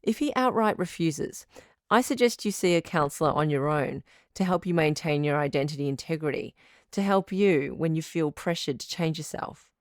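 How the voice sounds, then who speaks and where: Calm supportive lady doctor on a radio call-in drama, deep voice, careful professional wording, close dry sound. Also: no room sound. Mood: firm, concerned. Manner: measured, articulate, professional counselling tone. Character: calm, supportive woman doctor hosting radio advice show. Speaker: radio advice show host, sex therapist. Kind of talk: scripted audio drama as call-in radio advice.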